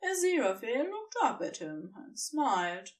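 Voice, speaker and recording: A woman narrating a fan fiction story in an expressive, scripted storytelling style. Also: fairly high pitch, moderate pace, recorded from a distance.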